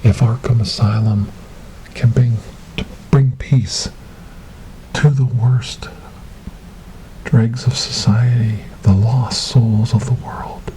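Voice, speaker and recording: A man playing a gentle, devout rescuer in an ASMR storytelling roleplay, speaking softly and breathily in a soothing, reassuring way. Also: medium pitch, fairly even intonation, takes it slowly, long pauses, a little hiss or hum, close to an everyday voice, rough recording. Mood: reassuring, solemn. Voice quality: soft, breathy, whispery. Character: gentle, devout male rescuer coaxing a lost ghost girl.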